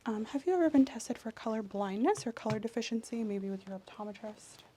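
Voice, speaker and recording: A woman soft-speaking an ASMR medical exam role-play as the examiner, explaining in a clinical way and often slipping into a whisper. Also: a little high, expressive, a little fast, a little hiss or hum.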